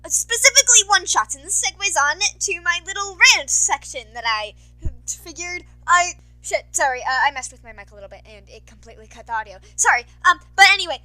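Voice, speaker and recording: High, bright-voiced young woman rambling casually. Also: sing-song, brisk, poor audio. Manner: effusive, apologetic, scattered. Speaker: podfic reader addressing listeners. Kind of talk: casual rambling update to listeners.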